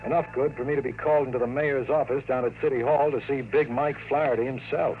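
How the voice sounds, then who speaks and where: An American actor playing a cocky, wisecracking private investigator in a 1950s radio drama, with dry, slangy tough talk. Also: male voice, medium pitch, fairly even intonation, fast, phone-quality sound, faint music behind, close to an everyday voice. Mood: cocky narrating. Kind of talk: 1950s radio drama acting, hardboiled narration. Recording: noisy vintage 1950s radio recording.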